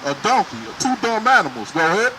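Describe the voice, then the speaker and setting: Male street preacher, emphatic and confrontational, raised high voice, recorded on a noisy city street. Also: very animated, a little fast. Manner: loud, emphatic, questions passersby.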